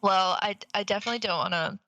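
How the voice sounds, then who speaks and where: A woman's voice: medium pitch, quick pace, sharp tone, no echo. A woman co-hosting a podcast in casual conversation, emphatic, repeating words for stress and swearing casually. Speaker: podcast co-host.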